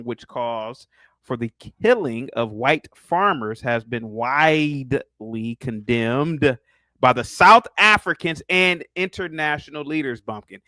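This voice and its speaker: A man's voice: medium pitch, pushed and bright, no echo. A man from Ohio hosting a casual debate stream, reading articles aloud and teasing his guest in a mocking tone. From Ohio, United States. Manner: mocking, teasing, reads text aloud and comments. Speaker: stream host.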